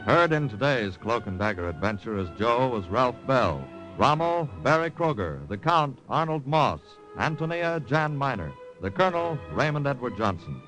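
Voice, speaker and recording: A man's voice: medium pitch, big pitch swings, normal speed, hardly any pauses, a bit bright, faint music behind, rough recording. A man working as a network radio announcer, reading in an old-time scripted style.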